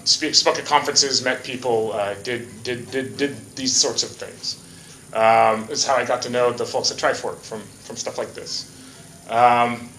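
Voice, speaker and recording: A man's voice: mid-range voice, sharp tone, slight background noise. A man from Hawaii giving a casual, joking conference talk, full of fillers like "uh" and "um". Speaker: conference speaker. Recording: echoey hall.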